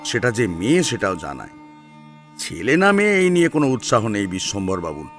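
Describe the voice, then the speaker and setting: A man with a fairly low voice tells a serial story as its narrator. He reads in a dramatic storytelling style over faint sitar music. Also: wildly swooping pitch, brisk, a bit bright. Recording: music under the voice. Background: sitar instrumental music.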